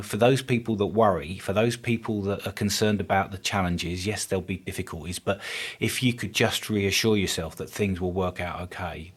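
A man's voice: lowish voice, slightly rough. A middle-aged man from England, a guest in a casual interview, talking earnestly and often repeating words as he gathers his thoughts. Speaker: podcast guest, business consultant.

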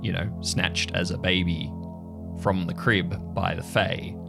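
Man acting a bitter, low-voiced, gravelly agent. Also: fairly even intonation, unhurried. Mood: defensive, weary. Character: bitter, haunted male agent raised by the agency since childhood. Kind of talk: improvised audio drama dialogue. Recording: music under the voice.

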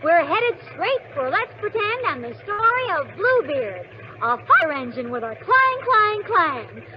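A woman's voice: extremely high pitch, very animated, unhurried. A woman on the cast of a children's radio show, speaking scripted 1940s banter in an eager, declamatory way. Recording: noisy, muffled old radio recording.

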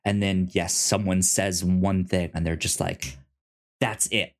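A man's voice: sits low, level tone, a little slow. A man co-hosting a podcast, casually discussing a TV series and often stammering over repeated syllables. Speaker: podcast co-host.

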